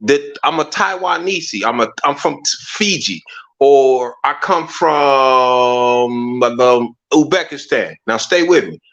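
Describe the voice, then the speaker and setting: A man's voice: medium pitch, slow, fairly bright tone, highs cut off. A man talking in a casual discussion, making his points with rhetorical questions and asking listeners to "watch this.". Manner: emphatic, rhetorical, repeats "watch this". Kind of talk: casual conversation.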